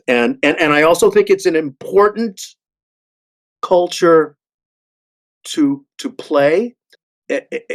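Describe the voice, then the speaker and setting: An older man talks in a panel discussion. His voice sits a little high. He stammers and repeats words, feeling his way through each thought. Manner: halting, stammering, repeats words as he searches for phrasing. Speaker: actor and panel discussion host.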